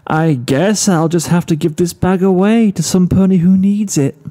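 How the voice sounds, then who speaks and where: A man's voice: sits high, normal speed, pitched above the performer's own voice, lightly acted. A man voicing an affectionate mare pony who is playfully dramatic and teasing, in a storybook reading. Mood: overdramatic teasing. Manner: overdramatic, teasing. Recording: soft guitar music behind.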